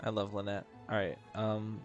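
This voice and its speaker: A man's voice: fairly low pitch, completely flat delivery, moderate pace, dull audio. A man streaming a video game, chatting casually and reading dialogue aloud with mumbly asides and stumbles. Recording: background game music.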